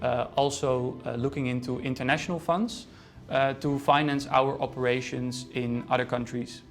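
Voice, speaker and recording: A man's voice: mid-range voice, steady tone, normal speed, faint music behind. A man from the organization's team explaining how their programs work in an interview, matter-of-fact with frequent fillers. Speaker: organization staff member.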